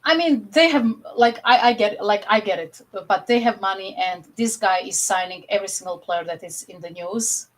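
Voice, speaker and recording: Young woman, croatian accent, animated, full of fillers, mid pitch, video call mic. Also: moderate pace, hardly any pauses, rough recording. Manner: animated, many fillers like "you know". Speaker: podcast co-host. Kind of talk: casual football chat on a video call.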